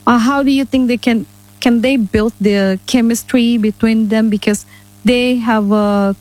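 A woman's voice: on the high side, fairly even intonation, measured pace, darkish tone, rough recording. Chatty woman radio host. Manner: chatty, with many "uh" and tag questions. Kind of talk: casual interview. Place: radio studio.

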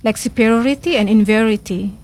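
A woman with an Indonesian accent, a radio guest and English teacher, speaking in halting English with many restarts and fillers, and giggling often. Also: fairly high pitch, a little mellow. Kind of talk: casual radio chat.

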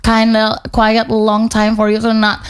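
A woman interviewing a guest in English, pausing often with "um" and "uh". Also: fairly high pitch, completely flat delivery, smooth. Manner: hesitant, many "um" and "uh" pauses. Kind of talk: casual interview.

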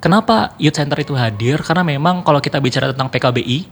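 A man talks at a quick clip in a mid-range voice. He answers as an interview guest, laying out his points in an orderly, conversational way. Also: medium pitch, slight background noise. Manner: explanatory, orderly, enumerating points. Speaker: youth organization board member, radio guest.

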